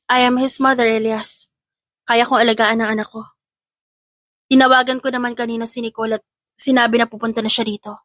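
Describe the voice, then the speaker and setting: A woman's voice: on the high side, flat delivery, a little fast, pauses often, fairly bright tone, no room sound, natural voice. A woman playing a defiant, protective new mother in an audio drama, speaking firmly and with emotion. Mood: firm, resolute. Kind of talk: Tagalog audio drama acting.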